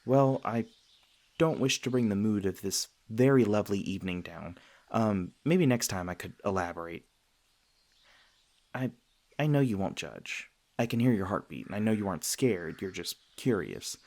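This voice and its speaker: Deep-voiced, gentle male vampire, acted by a man. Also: lively intonation, moderate pace. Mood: awkward, apologetic. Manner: hesitant, soft-hearted, earnest, with stammers. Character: gentle, self-conscious male vampire, century old but youthful, sweetly flustered. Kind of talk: intimate ASMR roleplay addressed to listener.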